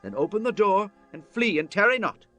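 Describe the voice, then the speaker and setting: A man playing a commanding Old Testament prophet in a dramatized scripture reading, with forceful, declamatory delivery. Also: high-pitched, sing-song, fairly quick, full character voice. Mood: solemn, commanding. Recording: voice over background music. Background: music.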